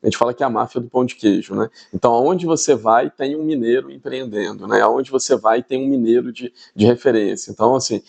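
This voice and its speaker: A man's voice: medium pitch, fairly quick, vocal fry, hardly any pauses, a little mellow, husky. A middle-aged Brazilian man with a Minas Gerais accent, explaining things conversationally in an interview and often checking in with tag questions. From Espírito Santo, Brazil. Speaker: podcast guest, tech entrepreneur.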